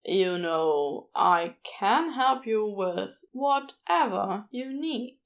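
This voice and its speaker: A woman voicing a laid-back, flirtatious man with a smooth, teasing manner in an audiobook. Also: medium pitch, lively intonation, extremely slow. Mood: smooth, flirty. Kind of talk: audiobook character acting.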